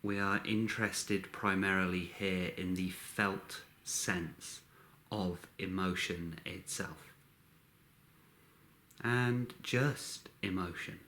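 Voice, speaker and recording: Low-pitched man, very slow guided meditation. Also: fairly even intonation, bright, forceful tone. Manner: measured, instructional, short phrases with long pauses.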